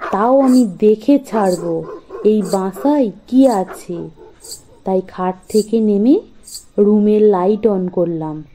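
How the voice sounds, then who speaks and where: Slow, tense young woman narrator. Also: fairly high pitch, mellow, a little hiss or hum, low-quality recording. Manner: slow, tense, fearful storytelling. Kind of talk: first-person horror story narration.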